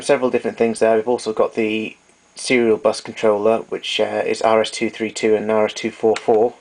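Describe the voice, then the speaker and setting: A man's voice: fairly low pitch, vocal fry, a little mellow. A man giving an informal technical demonstration, explaining things in a matter-of-fact, slightly hesitant way. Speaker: tape recorder repairer giving a demonstration. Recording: noisy amateur recording with clicks.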